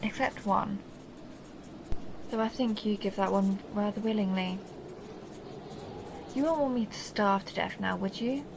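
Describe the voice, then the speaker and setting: A woman's voice: mid-range voice, a little slow, creaky, long pauses, noisy background. A woman playing a seductive, possessive vampire countess who teases and condescends in an intimate audio roleplay monologue. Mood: coaxing, seductive. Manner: teasing, mocking, intimate purring address.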